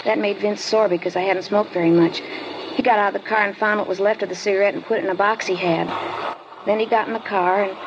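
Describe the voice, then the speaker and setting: A woman's voice: mid-range voice, fairly even intonation, natural voice. Woman playing a guarded teenage schoolgirl. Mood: recounting. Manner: guarded, halting, evasive answers. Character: wary 15-year-old schoolgirl informant, guarded. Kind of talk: 1950s radio drama acting. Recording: dull lo-fi old radio recording, clear hiss.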